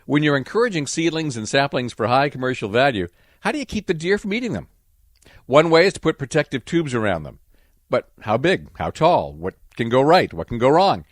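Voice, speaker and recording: A man hosting and narrating a radio nature program in a clear, storytelling delivery. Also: mid-range voice, very animated, brisk, no room sound, clean studio sound. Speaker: radio show host and narrator.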